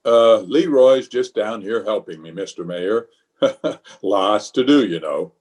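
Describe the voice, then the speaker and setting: Man as a pompous, low, husky uncle. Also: takes it slowly, a little mellow. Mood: flustered, deferential. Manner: pompous, blustery. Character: blustering, self-important middle-aged uncle, a small-town official. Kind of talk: old-time radio comedy acting.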